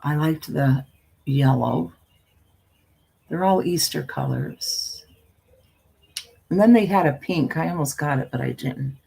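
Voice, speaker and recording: An older woman with a husky voice and a folksy, meandering manner, talking as a storyteller hosting her own live stream. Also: sits low, takes it slowly, pauses often, warm tone, rough recording. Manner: folksy, meandering, often trailing off and repeating. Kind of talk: casual rambling chat with viewers; reading a spooky story aloud.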